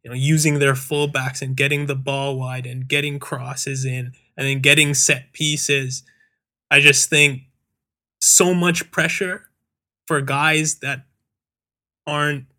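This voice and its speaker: A man hosting a soccer discussion, giving measured, hedging analysis. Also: medium pitch, level tone, pushed and bright. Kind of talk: casual chat.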